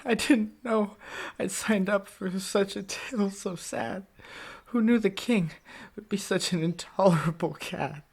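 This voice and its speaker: A woman's voice: medium pitch, a little slow, few pauses, a little mellow, slightly rough. A woman narrator telling a story in theatrical rhyme.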